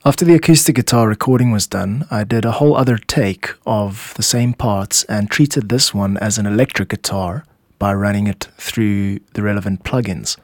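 A man's voice: lowish voice, moderate pace, no echo, clean studio sound. A man hosting a show as a music composer, giving scripted explanatory narration. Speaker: podcast host and music composer.

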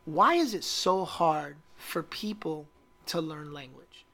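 A man hosting a reflective discussion, speaking in a deliberate, earnest way with rather formal wording. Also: a little high, animated, creaky, sharp tone. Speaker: host.

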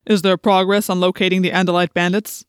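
A woman voicing a cold, imperious male alien commander in an audiobook, with slow, threatening, commanding delivery. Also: fairly low pitch, flat delivery, smooth, no echo, clean studio sound, natural voice. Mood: cold, menacing.

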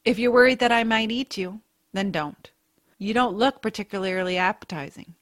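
A woman's voice: medium pitch, normal speed, fairly bright tone, natural voice. Earnest, solemn wolf-tribe warrior woman, acted. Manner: formal, earnest. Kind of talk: audio drama acting.

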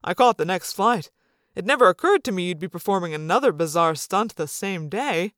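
A woman's voice: fairly low pitch, expressive, a little fast, fairly bright tone, no room sound, studio quality. A woman voicing an easygoing, protective father in an audiobook story. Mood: concerned.

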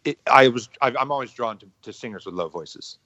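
A man's voice: medium pitch, animated, bright, forceful tone, no echo. A man, a podcast guest and musician, talking casually with many restarts, chuckles and swear words. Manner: halting, repeats words, chuckles, swears freely. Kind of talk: casual interview talk. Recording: muffled lo-fi sound.

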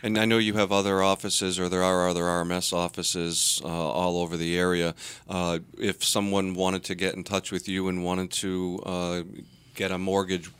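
Deep flat-sounding male co-host, smooth resonant voice, dry sound, reads guest notes. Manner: measured, reads background notes. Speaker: show co-host. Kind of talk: interview questions.

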